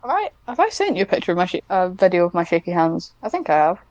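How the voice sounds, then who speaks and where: A woman's voice: fairly low pitch, very animated, quick pace, a little mellow, no room sound, lo-fi sound. A woman chatting casually as a podcast guest, hesitant and self-deprecating, with a giggly manner. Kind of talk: casual interview chat.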